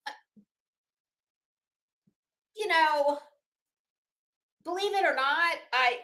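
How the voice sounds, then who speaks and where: A woman's voice: high voice, a bit bright, reverberant. A sassy, salty-tongued woman chatting casually and theatrically as she hosts a rambling vlog. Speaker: YouTube host.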